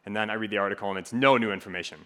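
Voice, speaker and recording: A young man from Minnesota with a faint Minnesotan accent, giving a relaxed, joking talk to a student group. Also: fairly low pitch, very fast, big-room sound. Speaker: campus ministry speaker. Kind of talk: casual sermon to a student group.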